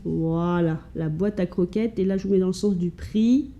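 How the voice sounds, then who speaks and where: A woman's voice: lowish voice, normal speed, dark tone, muffled recording, a little hiss or hum. A woman from France chatting casually to camera at home, showing her thrift finds with many fillers and restarts. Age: adult with children.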